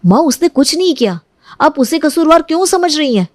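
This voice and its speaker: A woman's voice: high voice, big pitch swings, fairly quick, no room sound, pitched above the performer's own voice. A woman as an earnest, protective young man, dry sound. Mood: protesting. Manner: insistent, earnest. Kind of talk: acted dialogue in Hindi moral story cartoon.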